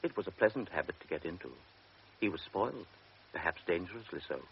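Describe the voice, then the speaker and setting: A man narrating a radio drama in a measured, grave manner. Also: deep voice, lively intonation, vocal fry, a little hiss or hum. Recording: dull old radio recording with music.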